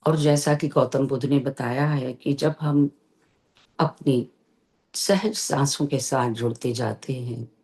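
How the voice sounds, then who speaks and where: A meditation teacher leading a guided session, giving gentle instructions with long pauses between phrases. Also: mid-range voice, fairly even intonation.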